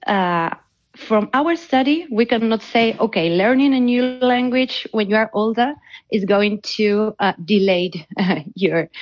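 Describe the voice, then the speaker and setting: A woman researcher, not a native English speaker, explaining her work in an interview and often repeating words as she goes. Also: medium pitch. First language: non-native English speaker. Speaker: academic researcher being interviewed. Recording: dull, band-limited audio.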